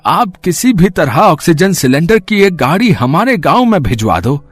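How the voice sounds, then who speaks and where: A man voicing anxious village men in an animated story, with earnest, exaggerated dramatic delivery. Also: a little high, moderate pace, breathy edge. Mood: worried pleading. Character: anxious village men, gossiping neighbours and pleading headman. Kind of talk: animated story character acting. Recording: dry voice over music bed. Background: background music.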